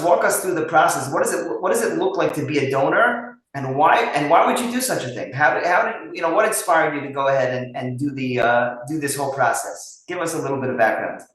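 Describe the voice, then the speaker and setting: A man's voice: fairly high pitch, lively intonation, fairly quick, fairly bright tone, heavy reverb, low-quality recording. A man hosting a webinar, talking in a rambling way and stacking up repeated questions. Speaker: webinar host. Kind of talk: webinar interview.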